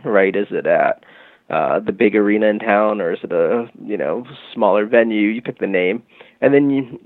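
Guest interviewee: lowish gravelly male voice, casual explainer, dry phone-line sound. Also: dry recording. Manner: relaxed, frequent fillers like "you know" and "um". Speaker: guest, music marketing tech company founder. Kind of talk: conversational interview, explaining a product.

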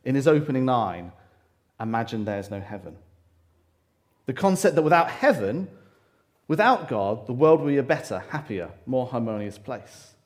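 A man with a loud voice preaching a sermon to a congregation, talking earnestly with frequent pauses and restarts. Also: medium pitch, sing-song. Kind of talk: church sermon. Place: church hall, live congregation. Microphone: handheld or stand microphone.

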